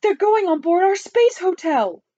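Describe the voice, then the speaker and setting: A woman playing a silly, excitable, self-important male American president in a storybook. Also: a little high, unhurried, muffled recording. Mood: alarmed.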